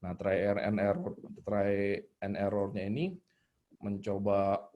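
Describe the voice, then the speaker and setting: A man's voice: lowish voice, monotone, slow, muffled recording, slight background noise. A man giving a casual online talk as a guest storyteller, informal and hesitant as he searches for words. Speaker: guest storyteller sharing tips. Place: online live video call.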